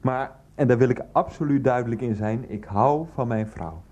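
An actor playing a middle-aged, feckless ex-teacher in a radio play, placating and hesitant, drawing out phrase endings. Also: male voice, mid-range voice, moderate pace, darkish tone, highs cut off, lightly acted. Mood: earnest, sheepish.

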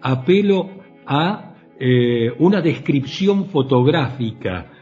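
A radio interviewer speaks very slowly in a dark, mellow voice, asking formal, measured questions. Faint music sits under his voice, and the sound is dull. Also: mid-range voice, lively intonation.

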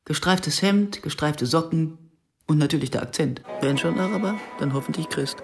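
A woman's voice: low-pitched, normal speed, music in the background. An actress playing a wry, self-questioning Israeli woman writer who narrates a radio drama in a dry, reflective, intimate way. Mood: ironic, uneasy. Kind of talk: radio drama acting with first-person narration.

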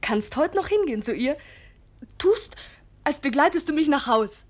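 An actress playing a knowing young confidante in a radio drama, teasing and conspiratorial, with a breathy voice. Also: female voice, sits high, animated, moderate pace. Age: young adult.

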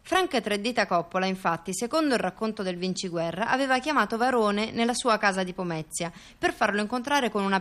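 A woman narrating in a fluent, articulate, measured way, as co-narrator in a theatre dialogue. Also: mid-range voice, fairly bright tone. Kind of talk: measured documentary-style narration with direct questions to her partner.

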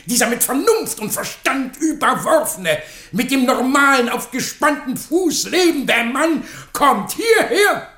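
Theatrical, wildly swooping courtroom advocate. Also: male voice, extremely high pitch, expressive, unhurried, roomy sound, heavily acted. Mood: mock outrage. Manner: declamatory, pompous, swinging from hushed to shouted. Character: theatrical, indignant, sarcastic male defence lawyer pleading in court. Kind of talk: theatrical German radio play oratory.

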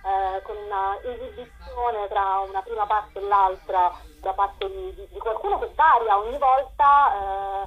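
A woman's voice: mid-range voice, a little slow, hardly any pauses, dull audio, faint music behind. A woman being interviewed as a writer and performer, talking spontaneously and enthusiastically, often searching for words. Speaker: interview guest, writer and performer presenting her collective's show. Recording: thin band-limited radio or phone sound.

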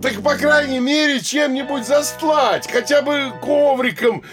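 A servile town mayor of middle age from a comic radio play. His voice sits high for a man and moves slowly through stammers and fawning exclamations, with loud music behind him. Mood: meek, obliging. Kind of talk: theatrical classic comedy audio play acting.

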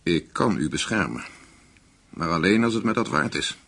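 An actor playing a composed, shrewd American CIA agent in a radio drama, measured, polite and probing. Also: male voice, low-pitched, lively intonation, a bit bright, husky, exaggerated voice. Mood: coolly probing. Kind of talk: Dutch radio drama acting.

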